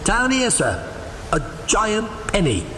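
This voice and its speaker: An actor playing a proper, concerned elderly butler in an audio drama, speaking formally and deferentially. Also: male voice, high voice, wildly swooping pitch, slow, pushed and bright, slight background noise, full character voice. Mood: dismayed.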